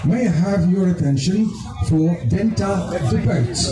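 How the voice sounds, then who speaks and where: A man acting as emcee at an outdoor rally, slowly introducing the next speaker. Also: sits high, over loud music. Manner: slow, deliberate announcing. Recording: echoey outdoor PA with crowd. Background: crowd noise.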